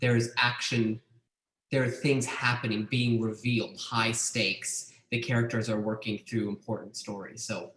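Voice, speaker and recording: A man's voice: mid-range voice, flat delivery, normal speed, a bit bright. A man who directs a theater group, talking in a thoughtful, fluent and enthusiastic way about plays. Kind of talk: casual conversation. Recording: echoey room.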